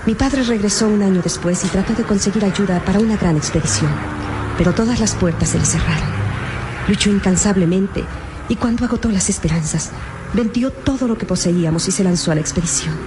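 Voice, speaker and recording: A woman's voice: medium pitch, brisk, noisy background, lightly acted. A woman playing a worried young woman, earnest and dramatic, in an old-fashioned radio adventure serial. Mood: sorrowful, earnest. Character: worried young woman seeking her lost father.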